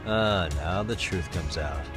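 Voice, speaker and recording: An actor playing a wry, level-headed detective in a video game dub, speaking in a dry, conversational way. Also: male voice, sits low, slow. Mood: sardonic. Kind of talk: English-dub video game cutscene acting. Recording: music behind the voice.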